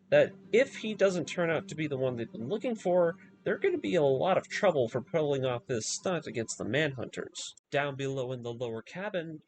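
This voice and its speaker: A man's voice: a little high, noisy background. A man narrating a scripted video review, moving between commentary and story recap.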